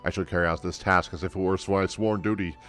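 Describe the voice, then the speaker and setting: A man voicing a proud, blustering guard lancer from a fantasy game with a stiff, gruff manner. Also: low-pitched, steady tone, quick pace, a bit bright. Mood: blustering, earnest.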